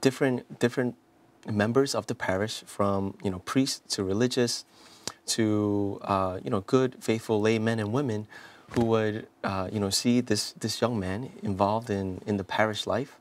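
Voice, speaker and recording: A man in his thirties from South Korea speaks as a priest guest in a television studio. His voice sits slightly low, and he talks earnestly, often restarting phrases and filling pauses with "you know." A lapel mic picks him up clean and close. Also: steady tone. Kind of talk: interview, telling his life story.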